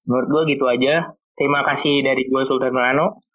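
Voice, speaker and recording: A man's voice: medium pitch, level tone, fast talker, a bit bright, poor audio. A teenage boy hosting a casual chat, loose and eager, repeating his words as he asks questions. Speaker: host.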